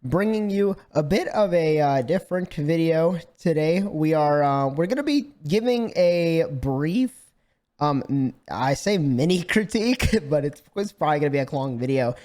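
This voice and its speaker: A man giving a casual video game review with a slightly scratchy voice, animated, with lots of fillers and restarts. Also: on the high side, normal speed. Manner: animated, swears freely, frequent fillers and restarts. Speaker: gaming YouTuber.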